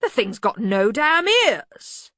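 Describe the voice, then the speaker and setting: A woman's voice: on the high side, big pitch swings, slow, bright, forceful tone, no echo, pitched above the performer's own voice, full character voice. A woman voicing a bluff, bellowing older male wizard chief, blunt and obtuse, in an audiobook. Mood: blustering, hearty. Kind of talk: acted audiobook dialogue.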